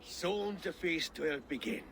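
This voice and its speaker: A man's voice: a little high, a little slow, vocal fry, fairly bright tone, slight background noise. A man playing a genial, self-effacing medieval town official in a video game, with an effusive, hesitant and theatrical delivery. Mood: effusive, evasive. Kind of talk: video game character acting.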